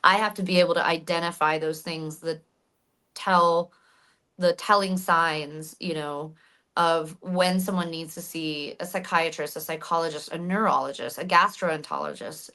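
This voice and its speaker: A woman guest talking casually in an interview, animated, with frequent fillers and restarts. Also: low voice, moderate pace. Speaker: guest, stunt actress and hypnotherapist.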